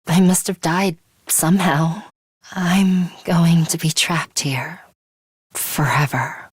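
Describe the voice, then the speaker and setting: A woman voicing a cool, guarded young female fighter in a video game, with a measured, composed and imperious way of speaking. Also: on the low side, slightly rough, no echo, studio quality. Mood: bleak. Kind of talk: English video game voice acting, dramatic anime-style dialogue.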